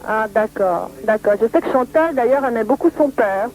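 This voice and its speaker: A woman's voice: a little high, expressive, normal speed, a little mellow. A woman journalist speaking on the phone in an earnest, insistent manner, often repeating herself. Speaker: magazine journalist. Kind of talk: phone conversation. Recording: noisy phone line recording.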